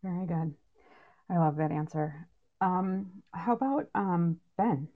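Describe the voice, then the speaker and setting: A woman's voice: fairly low pitch, takes it slowly. A woman casually moderating a panel, recorded with slightly dull, lo-fi sound. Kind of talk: casual hosting.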